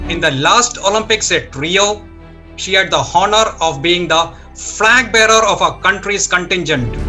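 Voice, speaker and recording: A man's voice: high voice, sharp tone. A man in his sixties with an Indian accent, hosting on a video call with a formal, scripted delivery. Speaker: show host and interviewer.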